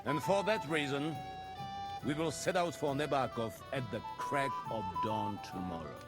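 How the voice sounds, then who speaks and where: An actor playing an authoritative medieval lord in a game cutscene, speaking in a formal, declamatory manner. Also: male voice, medium pitch, moderate pace, loud music behind the voice, lightly acted. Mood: firm, decisive. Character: stately, authoritative medieval lord commanding his gentlemen. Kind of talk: video game cutscene acting.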